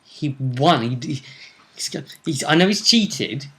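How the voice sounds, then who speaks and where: A man's voice: a little high, lively intonation, unhurried, pushed and bright. A man giving a casual, conversational recap of a tabletop role-playing game as its host, often trailing off mid-sentence.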